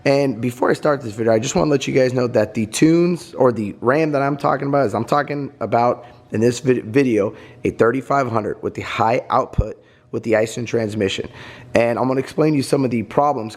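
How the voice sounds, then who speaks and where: A hoarse man who has lost his voice, chatting casually and rambling in a vlog while driving his truck. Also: mid-range voice, darkish tone, no room sound. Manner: chatty, rambling, clears throat. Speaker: vlogger. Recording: noisy car interior. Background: engine and road noise.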